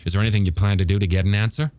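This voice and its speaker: A man playing a skeptical, gruff small-town police chief in an audiobook, asking blunt, incredulous questions. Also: on the low side, fairly even intonation, very fast, a little mellow, pitched above the performer's own voice, lightly acted. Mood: exasperated. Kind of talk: audiobook character dialogue.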